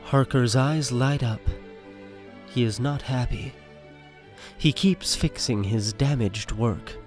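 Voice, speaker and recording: A man's voice: mid-range voice, takes it slowly, a little mellow. A man reading scripted stage directions as the narrator of an audio drama. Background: music.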